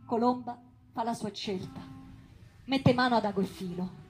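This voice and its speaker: A woman's voice: a little high, measured pace, faint music behind, lightly acted. A woman acting a passionate storyteller in a lyrical theatrical monologue about a tragic love. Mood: stirring. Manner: lyrical, impassioned.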